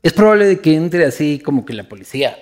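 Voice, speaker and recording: A man's voice: on the high side, very animated, fast talker, a little mellow. A man from Ecuador with a Quito accent, chatting casually and bluntly, swearing freely and repeating himself for emphasis. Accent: Quito Ecuadorian Spanish. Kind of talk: casual chat.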